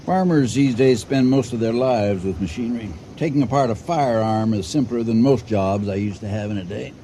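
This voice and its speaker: An actor playing a calm, shrewd elderly farmer in an old-time radio drama, speaking in a measured, courteous but firm way. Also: male voice, mid-range voice, slight background noise, close to an everyday voice. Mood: calm, dry. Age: older. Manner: measured, courteous, dryly admonishing.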